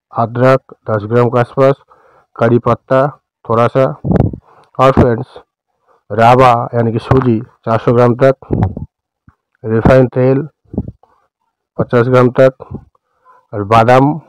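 Man, Indian accent, cooking host, step-by-step narration, smooth clear voice, dry close sound. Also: medium pitch, a little mellow, no room sound, low-quality recording.

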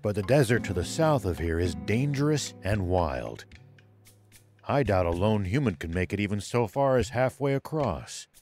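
An actor playing a stern, principled old sheriff in a video game cutscene, speaking formally and with resolve. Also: male voice, fairly low pitch, expressive, moderate pace, a little mellow, soft background music, natural voice. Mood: earnest, explaining.

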